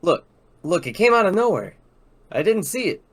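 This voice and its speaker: A man's voice: fairly high pitch, very animated, fairly quick. Worried, defensive young man read by a man. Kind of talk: amateur script reading.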